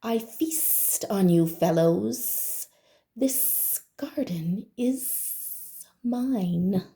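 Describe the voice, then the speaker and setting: Woman doing a slow, hissing snake. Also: medium pitch, animated, dark tone. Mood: sly, menacing. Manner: hissing, drawn-out. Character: sly, predatory, possessive garden snake.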